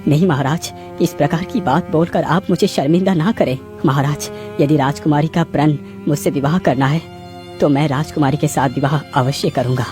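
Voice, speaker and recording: A woman's voice: deep voice, normal speed, voice pushed down, natural voice. A woman voicing a noble, earnest male king with a formal, polite way of speaking. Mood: calm, courteous. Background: clear background music.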